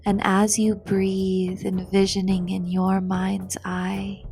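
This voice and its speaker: A woman narrates a guided meditation in short, soothing phrases with long pauses. Her delivery is extremely slow and flat in pitch, with a creaky edge and breathy phrase endings. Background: meditation music.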